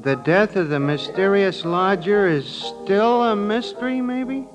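An actor playing a simple, soft-hearted, curious pal with a plain, wondering manner in a 1940s radio drama. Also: male voice, sits high, measured pace, music in the background. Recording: vintage radio broadcast recording.

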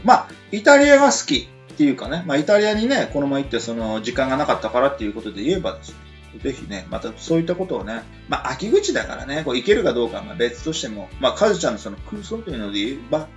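A man hosting a casual radio show in a chatty manner, tagging his phrases with frequent little particles. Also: mid-range voice.

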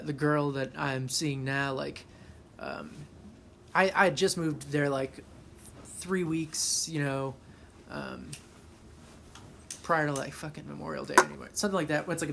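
A man from Chicago as a podcast guest, telling stories casually in a halting, profane way. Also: fairly high pitch, gravelly, fairly bright tone, slight background noise. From Chicago, USA. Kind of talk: casual conversational storytelling.

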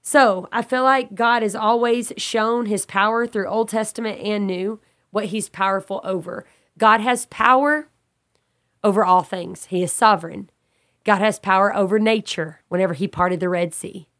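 A woman leads a Bible study with a stuffy, slightly raspy voice from a cold. She talks earnestly and steadily, repeating and restating her points as she builds them. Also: medium pitch, normal speed, fairly bright tone. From Texas. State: congested, a little raspy.

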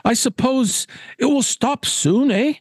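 A man voicing a presumptuous, grumbling older gentleman angler in a spoken fishing fable. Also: very high voice, takes it slowly, no room sound, clean studio sound, voice pushed up, full character voice. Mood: exasperated. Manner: pompous, grumbling. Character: presumptuous old fat-cat angler, blustering and exasperated. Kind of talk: acted storytelling of a fishing fable.

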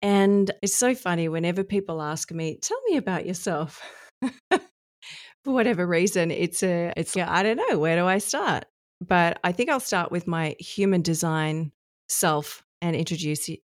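A middle-aged woman with a South Australian accent, chatting as a podcast guest in a warm, reflective way and laughing easily. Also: on the low side, normal speed, no echo, studio quality. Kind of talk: casual interview chat.

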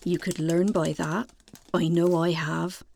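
A woman's voice: fairly low pitch, flat delivery, a little slow, vocal fry, darkish tone. An actress playing a homely, simple, stolid woman who offers folk wisdom placidly in an audio comedy drama. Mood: placid, preachy.

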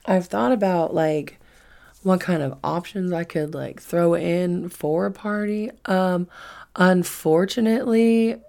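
A woman's voice: fairly low pitch, takes it slowly, creaky, a little mellow. A woman in her twenties chatting casually on a solo podcast, rambling and swearing freely with emphatic repetition. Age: 20s. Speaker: podcast host.

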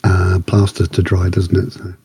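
A man's voice: low voice, completely flat delivery, moderate pace, dark tone, slightly rough, dry recording. A man chatting casually on a podcast panel with dry, teasing humour. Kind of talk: casual chat.

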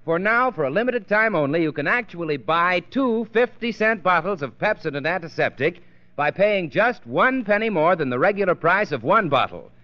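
A man's voice: sits high, animated, normal speed. A man working as a radio announcer, reading an advertisement in a polished, persuasive 1930s style. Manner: polished, persuasive salesman delivery. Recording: muffled old-time radio broadcast.

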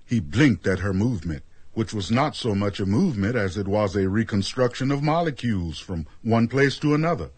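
A man with a deep, husky voice delivering scripted third-person narration for a radio drama. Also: expressive, a little fast, a little mellow, dry recording.

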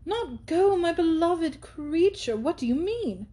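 A woman reading aloud and voicing an effusive, affected young society woman who gushes in long, drawn-out phrases. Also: a little high, expressive, warm tone, natural voice. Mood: gushing. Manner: drawn-out, gushing delivery.

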